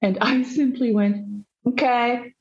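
A woman from Belgium with a Dutch accent, a journalist giving animated, emphatic answers as an interview guest over dull, lo-fi remote audio. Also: fairly high pitch. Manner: animated, emphatic storytelling with drawn-out phrases.

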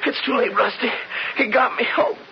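An American actor playing a plain-spoken cowhand of the Old West in a radio drama, with folksy cowboy idiom. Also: male voice, very high voice, moderate pace, gravelly. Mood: alarmed, pained. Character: plain-spoken Western cowhands, outlaws and sheriff. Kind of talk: old-time radio western acting. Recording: muffled, noisy old radio broadcast.

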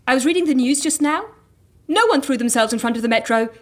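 A woman's voice: high voice, animated, quick pace. An actress playing a polite, matter-of-fact woman passing on information in an audio drama. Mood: apologetic, informative. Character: apologetic woman matter-of-factly bringing news.

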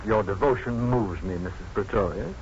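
A man's voice: lowish voice, normal speed, darkish tone, lightly acted. A male actor playing a smug, officious university professor in a 1950s radio drama, stiff, pompous and insinuating. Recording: muffled, noisy 1950s radio recording.

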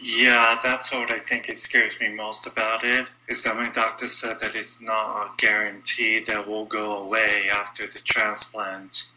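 A young man with a Brazilian accent, talking as a guest in an interview. His voice sits a little low but comes across bright and forward. He speaks earnestly and candidly, in short phrases he often repeats. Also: flat delivery, a little slow, hardly any pauses, rough recording. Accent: Brazilian Portuguese. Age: young adult. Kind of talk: interview conversation.

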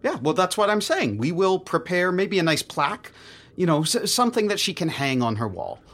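An actor playing a fussy, officious supervisor in a sci-fi comedy audio drama, hesitant and stammering with bureaucratic politeness. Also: male voice, high-pitched, very animated, normal speed, a bit bright, exaggerated voice. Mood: politely unbothered.

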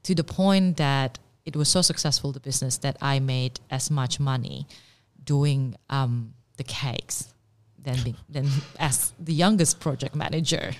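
A woman, telling her story in a casual interview, animated and full of fillers and restarts. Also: rumbling low, unhurried. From Indonesia. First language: Indonesian. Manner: animated, many fillers and restarts, laughs readily. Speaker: pastry chef and business owner.

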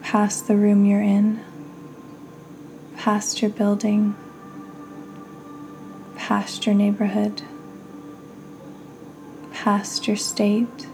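A woman hosting a guided meditation, speaking soothingly in short phrases with long pauses and breathy endings. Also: mid-range voice, completely flat delivery, a little mellow, soft background music, poor audio. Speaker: podcast host and meditation guide.

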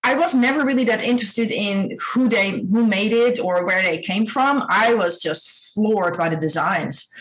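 A woman's voice: medium pitch, normal speed, few pauses, low-quality recording. A woman who grew up in the Netherlands, a guest on an interview show, talking in an earnest, searching way. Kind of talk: casual interview chat.

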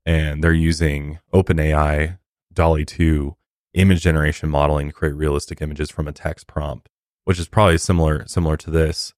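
A deep-voiced guy you'd hear chatting over a case on a crime podcast, in a tightly damped booth. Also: level tone, fairly quick, no room sound, clean studio sound. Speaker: podcast co-host. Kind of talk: casual true-crime discussion.

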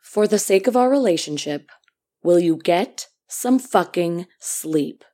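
A woman's voice: fairly low pitch, animated, takes it slowly, dark tone. A woman playing a sceptical, rational girlfriend in naturalistic audio drama dialogue, speaking bluntly. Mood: exasperated. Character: sceptical, exasperated girlfriend of a sleepless doctor.

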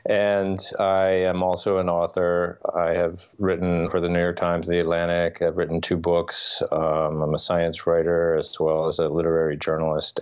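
A man introduces himself as an author guest in a podcast interview, with dry, self-deprecating humor. His voice is very deep and flat in tone. The remote audio sounds dull.

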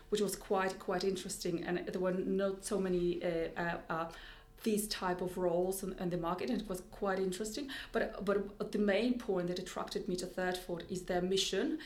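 A woman's voice: fairly low pitch, fairly even intonation, moderate pace, hardly any pauses, darkish tone, roomy sound. A woman with a Russian accent, a tech executive guest on a podcast, speaking thoughtfully with many restarts and repeated words. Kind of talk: podcast interview.

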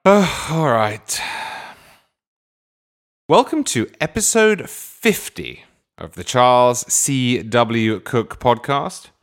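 A man's voice: mid-range voice, sing-song, takes it slowly, pauses often. A man hosting his podcast with a dry, wordy, mock-grandiose manner before interviewing a guest. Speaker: podcast host. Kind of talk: scripted comic monologue and interview.

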